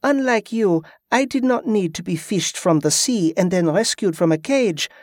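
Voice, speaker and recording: A playful, clever woman with a lifted, lilting voice, teasing her husband with quick wit, as a male narrator puts her on. The sound is close and dry, with no room around it. It plays as audiobook storytelling. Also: high-pitched, animated, no room sound, voice pushed up. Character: wry, clever adult woman, a thief.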